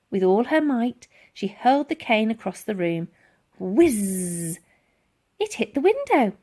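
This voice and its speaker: A woman reading a children's book aloud as dramatic, theatrical narration. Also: medium pitch. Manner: expressive, theatrical. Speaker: storybook reader.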